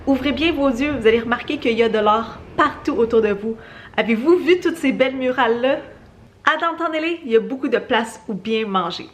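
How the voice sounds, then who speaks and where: A woman's voice: a little high, fairly bright tone. Upbeat Québécois woman vlogging. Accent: Québécois French. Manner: chatty and upbeat, addresses viewers directly. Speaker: travel vlogger. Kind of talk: casual vlog narration.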